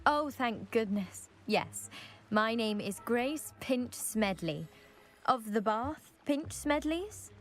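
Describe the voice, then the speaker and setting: A woman's voice: a little high, animated, slow. A woman playing a proud, eager young lady from a scholarly family in a video game, with a posh British accent and precise, self-assured delivery. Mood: relieved, hopeful. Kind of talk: video game character acting.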